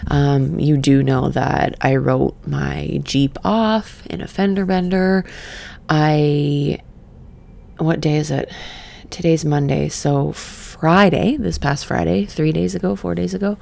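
A middle-aged woman who just woke up, leading a gentle breathing exercise and then reflecting in a soft, meandering way. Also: deep voice, vocal fry. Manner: soft, slow and meandering, with sighs and fillers. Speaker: podcast host and session coach. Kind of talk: guided breathing then casual reflective talk.

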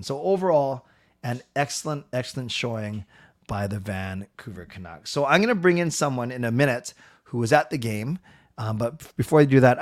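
Loud middle-aged guy rambling about hockey on his stream, lots of ums. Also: mid-range voice. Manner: chatty and rambling, many fillers and restarts. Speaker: livestream host. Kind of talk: casual hockey livestream commentary.

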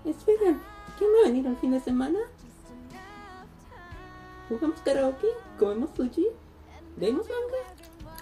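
A restless, troubled Chilean girl, played by a young woman in a radio drama. Her high voice moves around a lot and has a mellow tone, over faint music. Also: sing-song, a little slow, pauses often. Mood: eager. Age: young adult. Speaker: student performer. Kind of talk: radio theatre acting.

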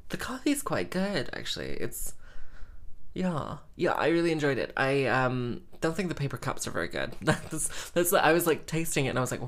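Playful, self-mocking young woman. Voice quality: says she has a squeaky voice. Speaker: musician and vlogger. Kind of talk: casual rambling monologue.

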